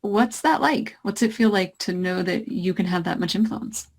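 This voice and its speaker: A woman moderating a panel, asking the guests questions in a measured, inquisitive way. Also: medium pitch, vocal fry.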